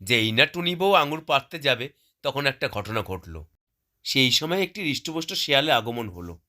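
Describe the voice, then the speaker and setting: A man's voice: mid-range voice, sing-song, normal speed, bright, forceful tone. A man narrating a scripted cartoon story as the storyteller.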